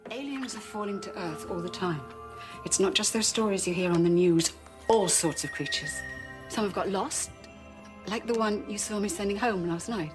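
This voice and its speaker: A woman's voice: mid-range voice, normal speed, music in the background, natural voice. A woman playing a wistful, candid secret alien fighter in a drama. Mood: confiding. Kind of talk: drama dialogue.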